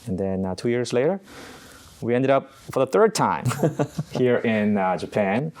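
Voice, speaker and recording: A middle-aged man from Korea, a Navy chaplain answering interview questions in an unhurried way, often pausing on uh. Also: mid-range voice, lively intonation, a little mellow. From South Korea. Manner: unhurried, halting with frequent uh pauses.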